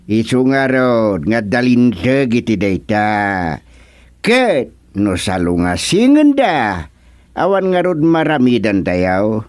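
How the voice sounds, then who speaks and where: A man's voice: medium pitch, big pitch swings, slow pace, no echo. A man playing a righteous, emphatic village lawman in an Ilocano radio serial drama. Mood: resolute. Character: righteous village lawman vowing to jail gamblers.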